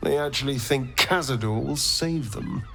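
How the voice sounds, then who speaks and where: A man's voice: medium pitch, moderate pace, a bit bright, faint music behind, close to an everyday voice. A man playing a theatrical, scornful vampire in a video game. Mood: scornful, scheming. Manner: theatrical, sardonic, drawling. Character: theatrical, scornful, vengeful male vampire spawn.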